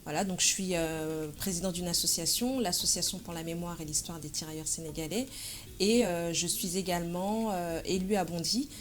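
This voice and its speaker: A woman of about forty from France, answering interview questions in a measured, hesitant way with frequent pauses and fillers. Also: fairly low pitch. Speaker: interview guest, association president.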